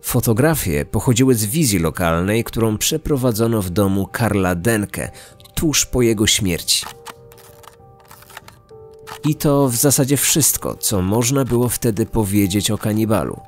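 A man's voice: on the low side, expressive, a little slow, faint music behind. A man delivering scripted documentary narration as the host of a true-crime podcast.

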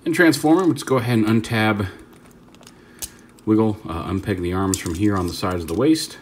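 A man's voice: on the low side, moderate pace, slight background noise. A man giving a casual hands-on toy review, rambling and stopping to correct himself as he handles the figure. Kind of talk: casual hands-on product demo.

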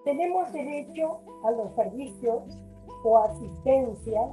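Elderly woman in her eighties, deliberate webcam reading, slow and mellow. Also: high voice, expressive, soft background music, low-quality recording. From Uruguay. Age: 80s. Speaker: older rights advocate. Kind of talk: read statement to camera. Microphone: video call webcam.

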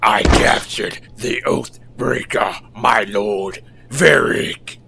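Slow gravelly monster henchman. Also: on the low side, sharp tone, a little hiss or hum, exaggerated voice. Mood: servile, confused. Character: dim, servile horned monster henchman.

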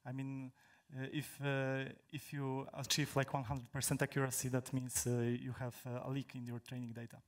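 A young man from Poland, a native Polish speaker, presents to a crowd from a stage in a big indoor hall. He talks into a handheld microphone at a middling pitch. He stops and restarts often and fills the gaps with "uh". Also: monotone. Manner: halting, many fillers and restarts. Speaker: conference speaker. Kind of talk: technical talk to an audience.

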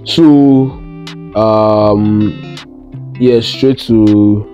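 Young Nigerian man vlogging, low bright voice. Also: drawn-out words, lo-fi sound, soft background music. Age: young adult. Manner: animated storytelling with Pidgin slang and self-quoting. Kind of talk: casual travel vlog chat to camera. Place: living room, indoors.

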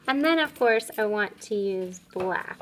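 A woman's voice: medium pitch, animated, unhurried, fairly bright tone, a little hiss or hum. A woman, an elementary art teacher, demonstrating a craft step by step in a simple, upbeat way for young children.

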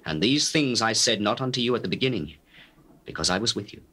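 An actor playing a solemn male teacher addressing his disciples in measured, declamatory scripture drama. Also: fairly low pitch, lively intonation, pushed and bright. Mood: grave, warning. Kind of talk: dramatized King James scripture reading.

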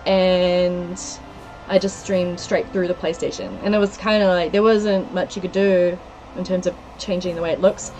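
A woman's voice: on the low side, fairly even intonation, normal speed, darkish tone, faint music behind, poor audio. A woman in her thirties from New Zealand with a New Zealand accent, chatting casually on a game livestream and reading out viewer comments with frequent giggles. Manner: chatty, giggly, lists place names from chat. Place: small room indoors. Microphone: headset.

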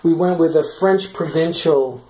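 A woman's voice: low voice, a little slow, warm tone, slight background noise, poor audio. A woman giving a casual walk-through of her house, chatty and full of fillers as she walks room to room behind a handheld camera. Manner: chatty, many fillers and restarts. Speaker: vlogger giving a house tour. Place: in a large furnished house, walking room to room. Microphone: handheld camera, speaker off screen.

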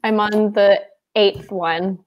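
Relaxed young woman on a video call. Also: mid-range voice, takes it slowly, highs cut off. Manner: relaxed, many "like" fillers, chatty. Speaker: English teacher. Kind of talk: casual livestream lesson chat. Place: small room at home, indoors. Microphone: earphone mic on a video call.

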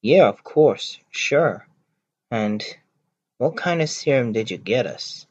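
A man voicing an eager young friend, speaking in a slow, plain, unpolished way. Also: medium pitch, expressive, no echo. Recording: dull lo-fi home recording with clear hiss.

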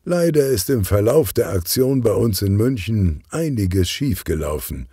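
A man voicing a sporty, friendly police officer in a children's audiobook, with an animated, earnest manner. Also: on the low side, unhurried, breathy edge, dry recording, studio quality, pitched above the performer's own voice, heavily acted. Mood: earnest, concerned.

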